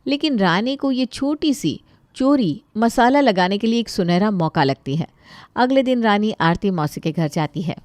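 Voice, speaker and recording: Casting: female narrator for moral tales, expressive storytelling, mid-pitched, very dry sound. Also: moderate pace, no echo.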